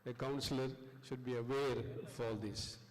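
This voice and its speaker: A man with a South Indian accent hosting a class session, giving informal instructions in a halting, repetitive way. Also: mid-range voice, steady tone. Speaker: lecturer and session host. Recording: long echoing hall reverb.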